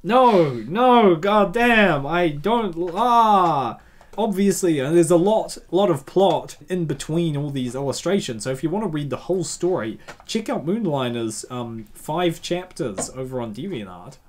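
A man's voice: on the high side, expressive, measured pace. A man hosting a casual comic reading with playful commentary and theatrical exclamations. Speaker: host.

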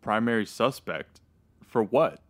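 An actor playing a hungover, laconic murder suspect in a comedic radio drama. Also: male voice, on the low side, lively intonation, measured pace, fairly bright tone, no room sound, studio quality. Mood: groggy, evasive.

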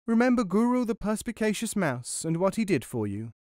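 A man's voice: high voice, very animated, a little fast, darkish tone, no room sound, clean studio sound, pitched above the performer's own voice. A man voicing a pompous, self-important little mouse in a storybook, with a grand, declamatory manner. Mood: proud. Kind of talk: storybook character voice.

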